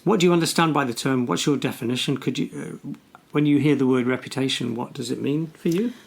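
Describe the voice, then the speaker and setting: Guy cohosting a podcast, deadpan jokes, some fry in his voice. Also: medium pitch, quick pace. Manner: dry, teasing humor. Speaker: podcast co-host. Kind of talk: casual conversational chat.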